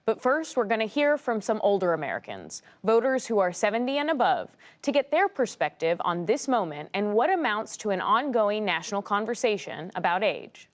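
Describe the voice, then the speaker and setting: A woman news correspondent presenting a report in a crisp, expressive delivery. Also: medium pitch, moderate pace, few pauses.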